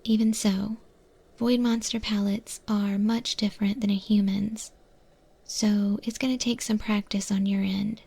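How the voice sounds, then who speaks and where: A woman acts out a female void monster who runs a kitchen, wry and easygoing. Her voice is a breathy, husky whisper with a dark, relaxed tone and a gravelly fry. She takes her time, pausing thoughtfully as she mentors the listener in an ASMR roleplay. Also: medium pitch. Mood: businesslike, reassuring.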